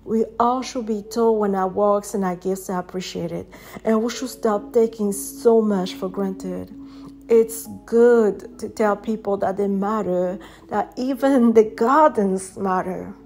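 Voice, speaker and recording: A woman with a French accent, a native French speaker, reading her own poetry in a gentle, reflective way. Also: medium pitch, a little slow. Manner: gentle, reflective, soft-spoken.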